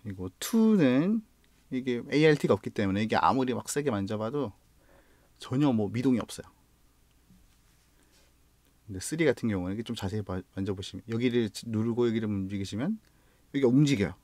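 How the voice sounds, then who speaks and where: A man's voice: medium pitch, no room sound. A man with a Gyeongsang accent, reviewing guitar gear in a casual, explanatory way, sometimes correcting himself mid-word. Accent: Gyeongsang Korean. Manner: relaxed, explanatory, slightly halting with self-corrections. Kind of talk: casual product review vlog.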